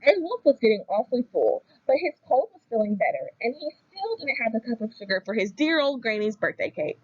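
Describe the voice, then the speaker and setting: A woman narrates a storybook tale in a conversational, expressive style. Her voice is fairly high and very animated, captured dry with a slightly lo-fi dullness. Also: fairly quick, slightly rough, dry recording.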